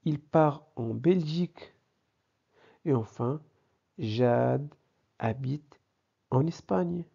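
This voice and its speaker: A man's voice: mid-range voice, extremely slow, vocal fry, dark tone, slightly rough. A man teaching a language lesson in a didactic way, posing questions and answering them himself, in a slightly dull recording. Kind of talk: grammar lesson with examples.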